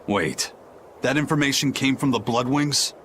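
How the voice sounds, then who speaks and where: An actor voicing a stern, seasoned pirate captain in game dialogue, speaking in a measured, grave, analytical way. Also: male voice, mid-range voice, noisy background, close to an everyday voice. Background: game score.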